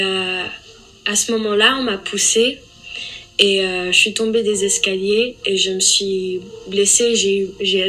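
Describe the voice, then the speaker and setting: Guest, young woman from the Philippines, English-speaking accent in French, halting testimony, mid pitch. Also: sharp tone, poor audio. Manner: hesitant, halting, full of fillers and restarts. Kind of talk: personal testimony in an interview.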